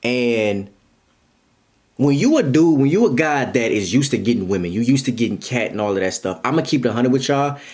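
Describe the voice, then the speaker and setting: A man's voice: mid-range voice, expressive, a little fast, darkish tone. A man in his twenties giving blunt, repetitive life advice straight to his viewers in a casual monologue. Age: 20s.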